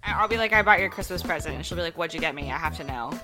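Woman chatting and joking with her best friend, music playing loud behind. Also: on the low side, expressive, very fast. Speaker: podcast co-host in a promo. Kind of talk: casual chat among friends.

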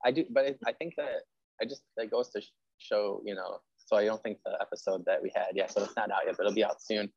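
Low-voiced man, dull lo-fi sound. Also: fairly even intonation, fast talker, gravelly, a little mellow, slightly rough, no room sound. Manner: effusive, conversational, heavy use of "like". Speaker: interview host; history teacher. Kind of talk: casual interview chat.

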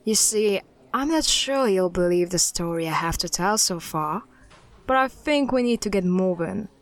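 A woman acts as a female private eye in a mystery audio drama. Her voice is mid-pitched, and she speaks briskly and guardedly, like someone keeping a case to herself. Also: expressive, lightly acted. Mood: purposeful.

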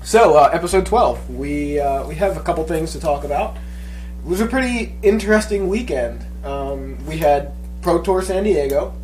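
A man's voice: on the high side, lively intonation, moderate pace, darkish tone, soft background music. A man co-hosting a casual podcast, talking with a stuffy head cold and rambling through fillers and restarts. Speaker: podcast co-host. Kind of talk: casual chat.

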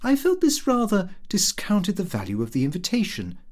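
Lively, prim male audiobook narrator. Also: sits high, normal speed. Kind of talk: expressive scripted narration of a comic Victorian diary.

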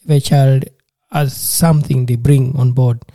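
Dark-voiced man from Uganda, earnest. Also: medium pitch, steady tone, normal speed, no echo, clean studio sound. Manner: earnest, repeats and restarts words, laughs between stories. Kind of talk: casual interview talk.

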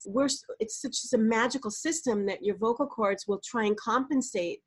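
A middle-aged woman, a voice coach, giving animated, explanatory answers in a podcast interview and sometimes demonstrating vocal exercises. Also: fairly high pitch, moderate pace, husky.

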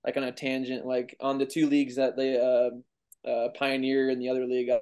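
A young man in his twenties chats easily as a podcast guest. His voice sits at an ordinary middle pitch. He rambles loosely and keeps saying "like" as he explains things. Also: moderate pace, clean tone. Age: 20s. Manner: relaxed, rambling, heavy use of "like". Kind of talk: casual podcast interview.

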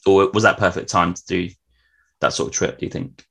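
A man's voice: deep voice, fairly even intonation, fairly quick, vocal fry, fairly bright tone, slightly rough. A man who is a native English speaker, hosting a casual travel interview in a chatty way with frequent tag questions. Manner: chatty, often tacks tag questions onto remarks. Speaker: podcast host.

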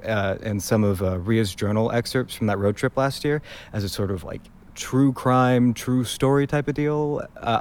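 An actor playing a sardonic, grudgingly caring big brother in a naturalistic audio drama, talking in a casual, dry way. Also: male voice, medium pitch, a little mellow, lightly acted. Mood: hesitant, subdued.

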